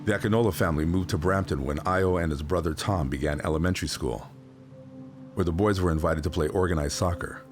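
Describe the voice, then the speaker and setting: A man's voice: low voice, quick pace, over music. A man reading scripted documentary narration for a sports feature.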